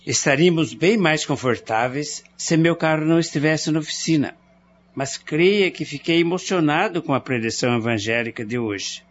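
An actor in a radio drama playing a cautious, reasonable husband who talks in a measured, persuasive way. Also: male voice, a little high, a little hiss or hum, close to an everyday voice. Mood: thoughtful. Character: worried husband, middle-aged, cautious and reasonable. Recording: muffled radio play with music.

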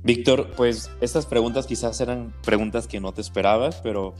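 A man's voice: mid-range voice, moderate pace, a little mellow, faint music behind. A man interviewing a guest, asking questions steadily and using "este" as a filler.

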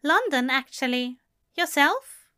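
A woman's voice: expressive, slow, full character voice. A woman voicing a prim, fussy man who is polite and easily offended. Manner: prim, high and bright.

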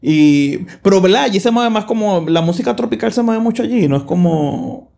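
A fairly high-voiced man hosts a relaxed podcast, talking loosely and circling back with fillers and restarts. He sounds easygoing and laughs readily, recorded in a church live room. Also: brisk, vocal fry. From South Texas. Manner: chatty, rambling with many fillers and restarts, laughs easily. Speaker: podcast host. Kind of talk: casual podcast chat.

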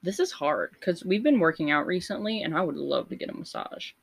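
A young woman with a low voice co-hosting a casual podcast, reading out would-you-rather questions and laughing often. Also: big pitch swings, fairly quick, vocal fry, breathy edge. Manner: giggly, loose and chatty. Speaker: podcast co-host.

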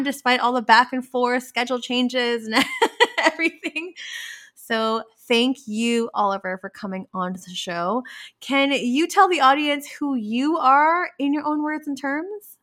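A woman's voice: a little high, fairly bright tone. A woman hosting a casual podcast interview, chatty, with lots of fillers and frequent laughs. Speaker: podcast host.

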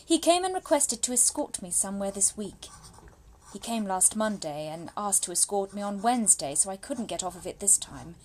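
A woman playing an anxious, spirited young Victorian gentlewoman, confiding in her brother through letters in a formal, genteel and expressive dramatic monologue. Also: medium pitch, moderate pace, natural voice. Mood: exasperated, dismayed.

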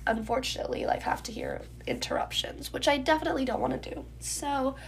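A woman's voice: high-pitched, wildly swooping pitch, a little fast, creaky, slight background noise. Congested young woman hosting a podcast. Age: young adult. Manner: chatty, many ums, getting ready while talking. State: congested, stuffy nose, sore throat. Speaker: podcast host. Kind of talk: casual solo podcast chat.

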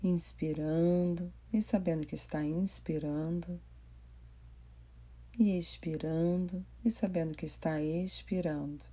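Slow, low-pitched Brazilian woman guiding meditation. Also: steady tone, slightly rough. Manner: gentle, unhurried, with long pauses. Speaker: meditation guide. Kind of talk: guided body scan meditation.

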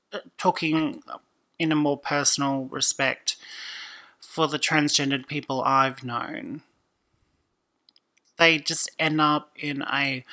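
Aussie woman chatting on a podcast, thoughtful, lots of restarts, kinda bright voice. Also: sits low. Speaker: podcast guest. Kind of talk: casual conversation.

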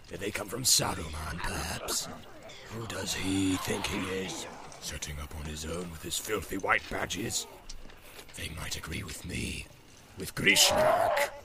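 A man voicing a soft-spoken, sly and evil male orc messenger who sneers in a hissing whisper. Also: low-pitched, voice pushed down. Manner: sneering, menacing. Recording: loud background hiss.

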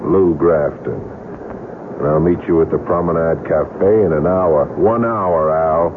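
An actor with an American accent playing a cocky, menacing crook in a 1970s radio drama, taunting with false friendliness. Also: male voice, a bass voice, measured pace. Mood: taunting, threatening. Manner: taunting, falsely friendly, repeats the listener's name. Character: cocky, menacing male crook, needling and self-assured. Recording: muffled, noisy 1970s radio broadcast.

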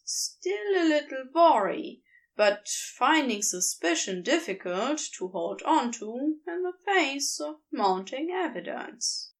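A woman narrating a fan fiction story aloud with unhurried, expressive delivery. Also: sits high. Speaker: podfic reader.

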